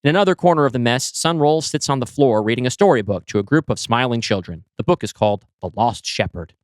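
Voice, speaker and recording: A man's voice: medium pitch, expressive, quick pace, no room sound, clean studio sound. A man reading a screenplay's scene directions aloud, with a wry, descriptive manner. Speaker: podcast co-host.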